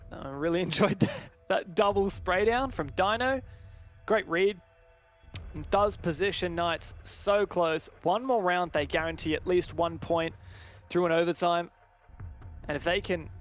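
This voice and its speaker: High-pitched male voice, esports play-by-play, dramatic delivery, live commentary. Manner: dramatic, builds excitement on big plays.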